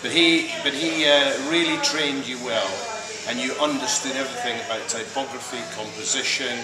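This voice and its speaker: A Scottish man in his fifties, chatting in an interview about his career, with lots of fillers and pauses. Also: mid-range voice, steady tone, reverberant, over a noisy crowd, rough recording. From Scotland. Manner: hesitant, many fillers, chatty. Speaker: interviewee, creative director. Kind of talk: casual interview.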